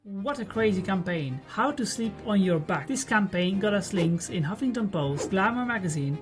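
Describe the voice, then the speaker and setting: A woman giving an enthusiastic promotional pitch for an agency's campaign. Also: lively intonation, moderate pace, faint music behind. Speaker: agency marketer in an ad clip.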